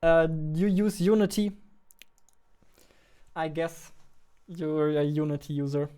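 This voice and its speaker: Young man with a clear, higher voice. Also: slow, warm tone. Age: 20s. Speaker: game developer live-streamer. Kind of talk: casual live-stream chat. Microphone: close studio mic on boom arm.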